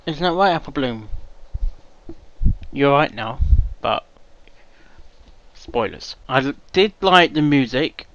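A man casually reacting to a story reading, rambling and hesitant with lots of restarts. His pitch swings around a lot, and the recording sounds dull and lo-fi. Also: expressive, slow pace, pauses often, fairly bright tone. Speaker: reaction video host. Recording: dull lo-fi mic.

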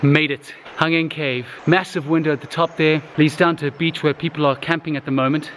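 A man in his thirties, vlogging with excitement while talking to a handheld camera in the jungle and inside a huge cave. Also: a little high, brisk, over music. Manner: enthusiastic, excited, talks straight to handheld camera. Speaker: travel vlogger. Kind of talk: casual travel vlog. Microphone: handheld camera at arm's length.

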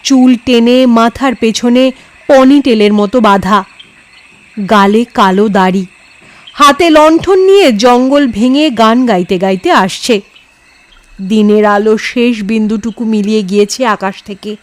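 A woman storyteller giving an expressive, dramatised reading of a scripted ghost story. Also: on the high side, a little slow.

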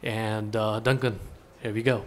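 A man emceeing a conference, introducing the next speaker into a handheld mic with slow, hesitant delivery. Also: lowish voice, level tone, heavy background noise. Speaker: event emcee.

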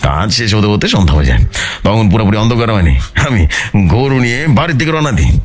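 A man's voice: lowish voice, very animated, fast talker, faint music behind. A man narrating a horror story in the first person, in a dramatic storytelling style, as the narrator of an audio story.

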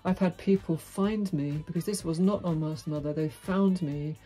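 A middle-aged Englishwoman with a deep, husky, mellow voice talks to camera in a small, dim indoor room. She is frank and outspoken, with a dry, wry edge. Faint music plays behind her. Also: normal speed. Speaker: vlogger. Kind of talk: candid monologue to camera.

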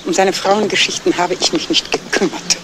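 An actress as a guarded, stern middle-aged sister, noisy recording. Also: female voice, on the low side, moderate pace, natural voice. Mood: formal, dismissive. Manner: clipped, formal, defensive answers. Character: stern, guarded sister of a dead man, former nurse. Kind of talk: 1970s German crime radio play acting. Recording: noisy old radio play recording.

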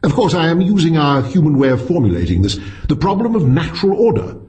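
A man's voice: on the high side, very animated, fast talker, dark tone, muffled recording, pitched above the performer's own voice. A man voicing an intense, reedy philosophy professor who lectures with smug energy, in an audiobook story. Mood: earnest lecturing.

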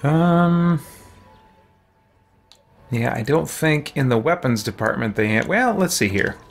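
A man in his thirties giving casual, unhurried game commentary, musing aloud and often hesitating. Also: on the high side, lively intonation, creaky, faint music behind. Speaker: streamer playing a role-playing game. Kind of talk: casual let's play commentary. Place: small indoor room with shelves, at a desk.

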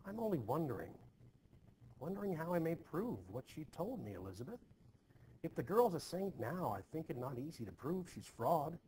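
A man playing a stern, troubled, short-tempered Puritan farmer in an expressive play reading, with forceful archaic phrasing. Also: a little high, moderate pace, pitched above the performer's own voice. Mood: defensive, irritated. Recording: noisy home recording.

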